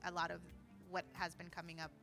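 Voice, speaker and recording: A woman's voice: on the low side, completely flat delivery, fast, gravelly, pushed and bright, faint music behind. A woman in casual conversation, speaking in an affirming, encouraging way as a podcast co-host. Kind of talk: casual chat.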